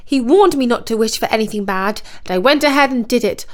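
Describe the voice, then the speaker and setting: A woman's voice: on the high side, a little fast, lightly acted. A woman voicing a young princess turned frog, self-pitying, ashamed and dramatic, in a storybook. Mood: distraught.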